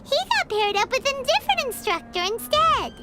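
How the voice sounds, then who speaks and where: A woman voicing a tiny, excitable floating fairy companion in a video game, with a squeaky childlike voice and a perky, blunt manner. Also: normal speed, heavy background noise. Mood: explaining. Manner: perky, speaks of self by name. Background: game music.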